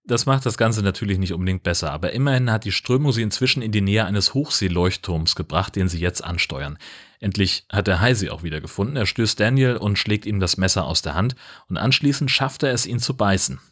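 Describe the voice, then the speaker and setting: Man, podcast host, low pitch, rough-edged voice, scripted film recap narration. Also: expressive, a little fast, fairly bright tone.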